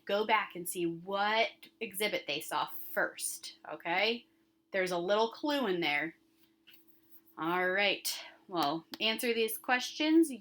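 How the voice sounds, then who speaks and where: A woman's voice: medium pitch, a bit bright. A woman with a mid-range voice teaches young pupils. She reads questions and answer options aloud, stressing the important words. She talks straight to the children in an instructive way, guiding them through each item. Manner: instructive, addresses children directly, emphasizes key words. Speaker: elementary school teacher.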